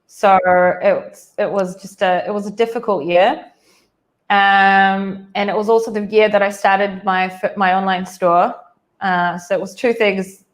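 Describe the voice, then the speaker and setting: A woman's voice: mid-range voice, fairly even intonation, unhurried. A woman in her thirties with a New Zealand accent, telling her life story as an interview guest in a casual, chatty way over a video call, full of "like" fillers and restarts. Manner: chatty, frequent "like" fillers and restarts, laughs easily.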